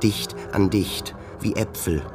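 A man's voice: fairly low pitch, takes it slowly, music in the background. A man giving a scripted literary narration for a radio play.

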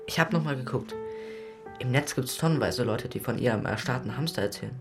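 A woman's voice: extremely low pitch, brisk, over music. A woman playing a cool, teasing teenager in a German audio drama, casual and dry-witted. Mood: dryly amused.

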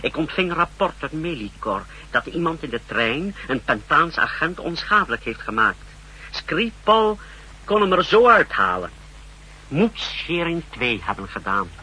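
A man's voice: on the high side, sing-song, measured pace, bright, forceful tone, breathy edge, heavily acted. An actor playing a zealous secret service subordinate, reporting formally and deferentially to his chief in a 1960s radio drama. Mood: dutiful, eager. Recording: faintly noisy 1960s radio recording.

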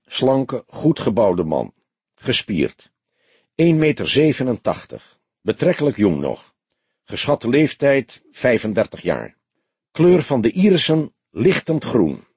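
Earnest young detective, lively pitch by male reader. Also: slightly rough, no room sound, heavily acted. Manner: factual, emphatic reporting. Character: young male detective, earnest, precise, self-assured junior colleague.

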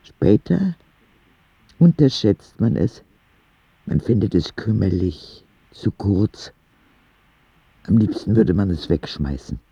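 A man's voice: fairly low pitch, vocal fry, slightly rough, no echo, lo-fi sound, exaggerated voice. A man playing a dying ten-year-old boy in a radio drama, reading candid, wondering letters to God in an intimate, reflective way. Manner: slow, reflective, with expressive swings.

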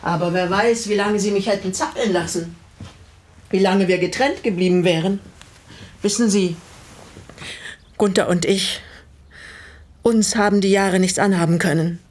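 An actress playing a guarded, quietly reproachful older woman in a radio play, speaking in a measured, pointed way. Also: medium pitch, steady tone, lightly acted. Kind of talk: German radio drama dialogue.

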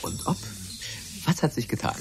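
An actor playing an eager, polite and curious younger underling in a German radio drama. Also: male voice, normal speed, music in the background, natural voice.

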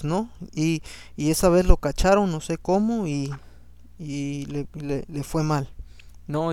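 A man with a slightly raised pitch and a gravelly edge under an otherwise smooth, resonant voice. His first language is Spanish. He reads scripture aloud and breaks off into chatty personal commentary, often tagging a questioning "no?" onto his sentences. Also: darkish tone, dry recording. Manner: conversational, keeps adding a questioning "no?"; frequent fillers and restarts. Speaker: Bible reading podcast host.

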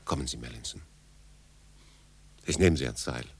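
An actor playing a composed, reflective, mature English consul in a radio drama, speaking in a measured, grave and deliberate way. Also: male voice, rumbling low, breathy edge, exaggerated voice. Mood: grave, resolute.

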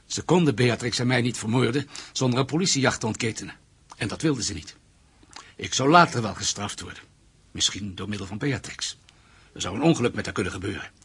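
Cornered informer for radio play: very husky man, mid register, earnest, subdued, resigned. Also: medium pitch, lively intonation, a little fast, a bit bright, exaggerated voice. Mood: resigned, anxious. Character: anxious, resigned male informer pleading for his family. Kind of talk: radio drama acting.

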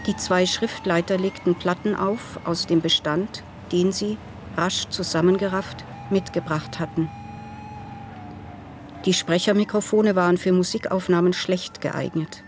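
A woman acting as a sober narrator of a wartime radio play. Her voice sits on the low side, and she reads in a measured, factual way. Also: steady tone, a little hiss or hum, close to an everyday voice. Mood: matter-of-fact. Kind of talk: scripted narration.

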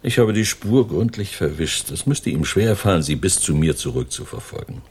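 An actor playing a sardonic, world-weary middle-aged criminal mastermind and lover in a German radio crime drama. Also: male voice, low voice, expressive, normal speed, a little mellow, slightly rough, full character voice. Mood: calculating, assured.

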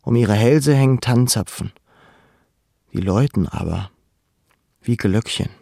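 An actor playing a young, melancholy camp prisoner in a measured, introspective radio drama narration. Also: male voice, medium pitch, creaky, mellow, breathy edge, dry recording, studio quality. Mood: somber, dreamlike. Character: young deported male labour camp prisoner, melancholy, watchful.

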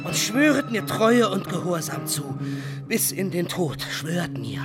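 A man's voice: sits high, sing-song, a little slow. An actor playing a betrayed, embittered young nobleman turned robber captain in a German radio play, impassioned and declamatory. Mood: wild resolve. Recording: dramatic music under the voice. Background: music.

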